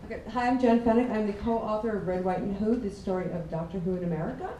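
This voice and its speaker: A woman's voice: mid-range voice, quick pace, warm tone, a little hiss or hum. A woman introducing herself on a convention panel, matter-of-fact. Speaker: co-author and costume events director. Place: large convention hall. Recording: echoey hall, far from mic.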